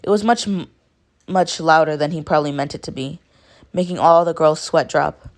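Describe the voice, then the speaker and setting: A woman narrating fan fiction in an expressive amateur storytelling style. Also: sits low, moderate pace.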